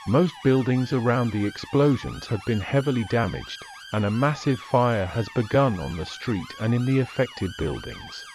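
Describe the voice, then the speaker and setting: A man reading a scripted emergency alert in a flat, formal announcer manner. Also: medium pitch, normal speed, hardly any pauses, a little hiss or hum.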